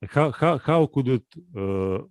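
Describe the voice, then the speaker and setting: Man, halting and hesitant, casual discussion, medium pitch, dry room sound. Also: animated, smooth, no room sound. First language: Russian. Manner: hesitant, halting, searching for words.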